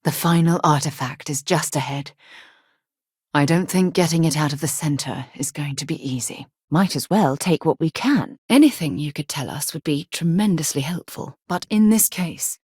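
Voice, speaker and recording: A principled woman who leads space explorers in a video game. Her voice sits a little low with a husky grain, recorded very dry. She speaks crisply and earnestly, like someone used to being in charge. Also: a little mellow, dry recording. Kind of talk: scripted game dialogue.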